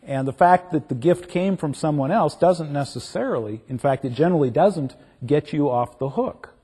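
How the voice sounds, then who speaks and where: A man's voice: on the high side, animated, normal speed, dark tone, breathy edge. A man on a panel giving advice conversationally, with asides. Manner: conversational, with asides like "you know what". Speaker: panel speaker. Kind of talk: answering a panel question.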